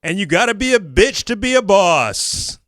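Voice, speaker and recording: A man's voice: sits high, very animated, moderate pace. A man performing an exaggerated, sarcastic dramatic reading on a comedy show, with emphatic delivery and mocking asides. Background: music.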